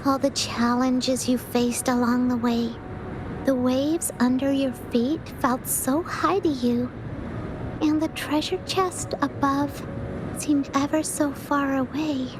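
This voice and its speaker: A woman's voice: sits high, fairly even intonation, takes it slowly, background noise. A woman voicing a timid, earnest young child in a video game, with a hesitant, childlike manner. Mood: earnest reading. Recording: music behind the voice.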